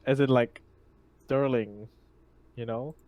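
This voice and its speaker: A man with a mid-pitched voice bantering casually as a tabletop roleplaying player. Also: steady tone, slow pace, dull audio.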